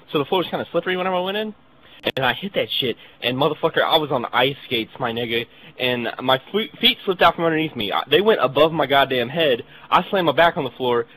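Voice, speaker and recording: A young man tells a comic anecdote in a casual, slangy, profane way, rattling it off quickly. His voice is bright and forceful, and he acts out the dialogue as he goes. There is some faint noise behind him. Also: mid-range voice, poor audio. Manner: profane, slangy, animated retelling with quoted dialogue. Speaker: storyteller. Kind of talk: casual comedic anecdote among friends.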